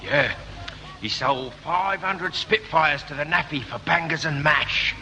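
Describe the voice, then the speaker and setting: A man's voice: on the high side, measured pace, bright, forceful tone, heavily acted. A man playing a jokey, breezy air force police corporal in a 1960s radio comedy, with a reverberant, faintly hissy sound. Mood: teasing. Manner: joking, breezy banter.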